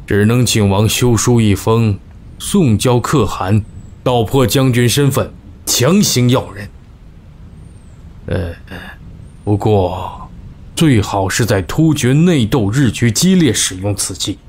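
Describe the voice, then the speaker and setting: An actor playing an earnest, scheming court adviser, formal and deferential, in an ancient-costume audio drama. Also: male voice, on the low side, slow, darkish tone, slight background noise. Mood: hesitant.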